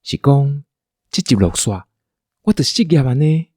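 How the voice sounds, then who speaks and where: Soft-edged male voice, Taiwanese accent, playfully dramatic, dry recording, casual. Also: medium pitch, animated, measured pace, no room sound. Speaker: radio drama storyteller. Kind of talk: casual speech of thanks.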